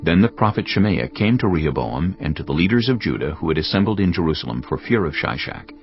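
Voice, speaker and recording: Male narrator, very low voice, lively intonation, solemn scripted Bible storytelling, dull audio, faint music. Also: fast talker.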